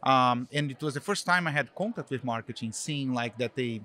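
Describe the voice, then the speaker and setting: A man's voice: mid-range voice, a little fast, bright, forceful tone. A middle-aged man from Brazil with a Brazilian Portuguese accent, a guest answering interview questions in a loose, rambling way full of "like" and "uh". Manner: rambling, many fillers like "like" and "uh". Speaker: guest, marketing executive.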